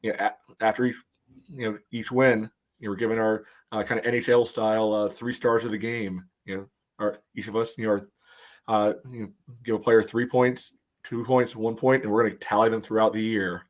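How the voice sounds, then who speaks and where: A man's voice: lowish voice, little pitch movement, fairly quick. A man co-hosting a soccer fan podcast, chatting casually and steering the conversation. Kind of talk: casual chat, moderating.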